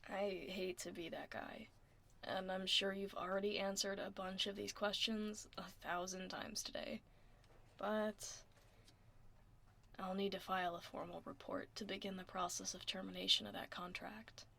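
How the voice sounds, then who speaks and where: A woman playing a laid-back tomboy werewolf office admin in a soft, casual, wry ASMR roleplay. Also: medium pitch, flat delivery, moderate pace, gravelly. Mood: apologetic, businesslike. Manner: casual, reassuring, wry, murmuring. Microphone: close binaural ASMR microphone. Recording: close binaural mic, faint background noise.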